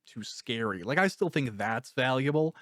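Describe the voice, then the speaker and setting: A man's voice: medium pitch, lively intonation, moderate pace, fairly bright tone, dry recording. Male interviewer, mid-range voice, dry recording. Loose, rambling, self-deprecating, blunt conversational delivery. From Canada. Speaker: interviewer and author. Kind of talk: casual interview chat.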